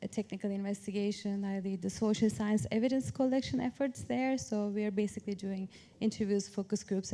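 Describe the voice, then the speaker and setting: A woman's voice: mid-range voice, creaky, warm tone. A woman from Turkey speaking as an academic on a panel, explaining her approach in an informal, structured way. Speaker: academic panelist, professor of public policy.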